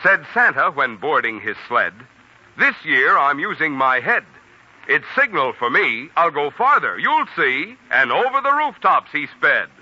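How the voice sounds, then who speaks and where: A man's voice: on the high side, big pitch swings, a little slow, bright, forceful tone. An American man announcing for a sponsor on 1940s radio in a polished, jovial, projected way. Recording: dull 1940s radio recording, music behind.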